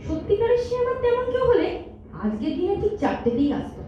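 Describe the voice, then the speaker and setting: Hurt, accusing girlfriend played by a woman, very high, swooping yet mellow, echoing in a hall. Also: very animated, fairly quick. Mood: sarcastic. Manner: sarcastic, aggrieved, emphatic. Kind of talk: melodramatic stage audio drama. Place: multipurpose hall stage. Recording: distant echoey hall, dull, faint noise.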